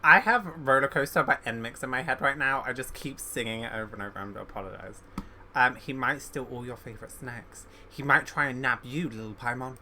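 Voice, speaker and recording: A man's voice: mid-range voice, a little fast, pushed and bright, slight background noise. A man giving casual, rambling commentary as a game streamer, thinking aloud and opinionated.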